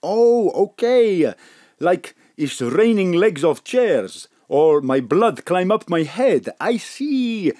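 An actor playing a cheerful, flirty foreign café cook in an audio drama, eager and excitable in broken English. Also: male voice, high-pitched, takes it slowly, heavily acted.

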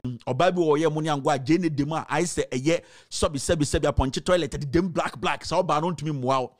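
A Ghanaian man with a slightly high voice delivers opinionated commentary. He talks close to a studio microphone, handing out blunt advice. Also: fairly quick.

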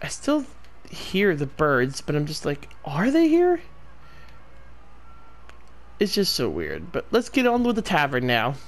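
A man's voice: on the high side, wildly swooping pitch, measured pace, long pauses, slight background noise. A man giving rambling, casual commentary while playing a game. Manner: rambling, talks to himself. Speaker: gameplay streamer.

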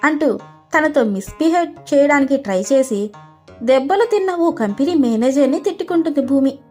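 A woman's voice: sits high, lively intonation, normal speed, dark tone, soft background music. A woman storyteller reading a scripted story narration.

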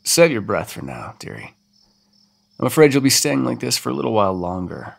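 A man's voice: mid-range voice, big pitch swings, brisk, creaky, exaggerated voice. A man voicing a centuries-old male vampire in an audio roleplay, condescending and teasing with sudden threats. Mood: mocking.

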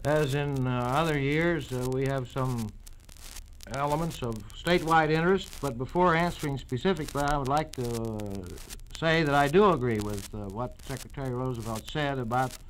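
A man giving formal, halting answers in a radio interview, pausing hesitantly often. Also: mid-range voice. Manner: halting, hesitant with many pauses. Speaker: state campaign chairman, company president. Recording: noisy, clicking 1940s radio transcription disc.

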